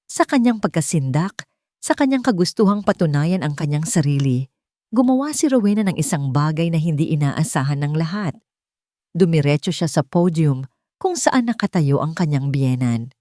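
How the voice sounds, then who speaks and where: A woman narrates a dramatic audio story in a lowish voice with very lively intonation. Her delivery is theatrical and suspenseful, captured in a dry, close recording. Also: moderate pace, no echo.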